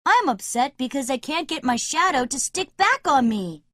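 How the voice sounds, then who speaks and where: A woman voicing a cocky, excitable young boy who never grows up in an animated children's story, boastful and blunt. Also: sits high, moderate pace. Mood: matter-of-fact, upset.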